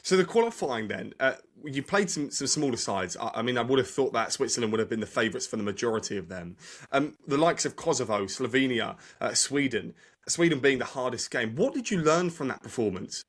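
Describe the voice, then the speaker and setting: A man's voice: mid-range voice, animated, brisk. A man hosting a podcast, asking a guest friendly, direct questions. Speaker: podcast host. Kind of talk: interview questions.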